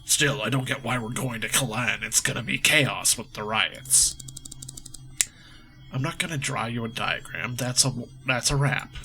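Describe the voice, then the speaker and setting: A man's voice: mid-range voice, unhurried, gravelly, background noise. A man doing a curt, cold woman commander. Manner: clipped, bossy orders.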